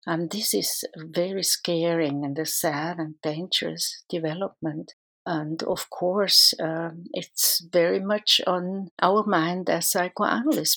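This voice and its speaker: A middle-aged woman with a Swiss accent speaks in a somewhat low voice. She answers interview questions as a psychoanalyst, thoughtful and earnest, often restarting her sentences as she searches for words. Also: gravelly, a bit bright. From Switzerland.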